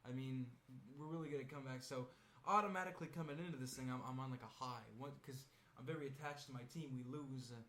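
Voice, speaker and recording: A man's voice: mid-range voice, fairly even intonation, fast, hardly any pauses, fairly bright tone. A twenty-year-old man co-hosting a podcast, chatting casually in a crude, rambling, joking way. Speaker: podcast co-host. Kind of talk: casual chat. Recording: echoey room with faint noise.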